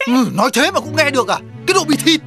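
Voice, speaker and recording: A woman's voice: high-pitched, very animated, quick pace. A woman voicing a grumpy, quarrelsome animal neighbour in a comic cartoon, scolding and blustering. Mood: indignant. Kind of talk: cartoon voice acting. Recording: loud music behind the voice.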